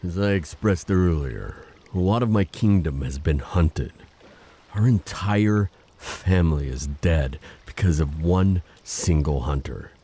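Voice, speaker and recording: Man as a very deep-voiced, solemn sea prince. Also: lively intonation, breathy edge, exaggerated voice. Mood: grave, sorrowful. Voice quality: very deep, dark. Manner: formal, grave, measured. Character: solemn, dutiful undersea prince guarding his hunted people. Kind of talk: audio drama acting.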